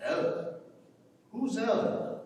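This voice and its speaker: A domineering old farmer, played by a man, gives slow, emphatic commands in a fairly high voice that swings widely. This stage reading is heard from a distance in a big, very echoey hall. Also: expressive, darkish tone, a little hiss or hum. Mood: stern, indignant. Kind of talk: staged dramatic reading of a play. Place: large reverberant hall at a public event. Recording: very echoey hall, far from microphone.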